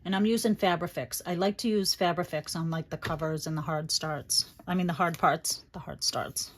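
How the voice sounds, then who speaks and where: A woman's voice: fairly low pitch, steady tone, normal speed, slight background noise. A woman with a Boston accent chatting casually as she walks through a crafting project, thinking aloud. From Boston area, USA. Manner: chatty, thinks aloud, drops into quiet asides. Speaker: crafting channel host. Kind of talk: casual crafting tutorial chat.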